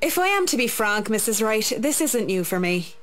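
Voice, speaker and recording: A woman's voice: on the high side, big pitch swings, fairly bright tone. A woman playing a discreet, frank and courteous female employee in a visual novel game. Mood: calm, reassuring. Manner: formal, measured, courteous.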